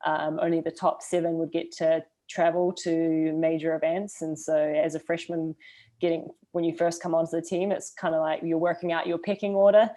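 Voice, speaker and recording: This is a young adult woman with a New Zealand accent, talking casually as a guest on a podcast. Her voice sits low and mellow. She speaks relaxed and unhurried, filling pauses with ums and uhs. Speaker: guest, trail runner. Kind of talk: casual interview chat.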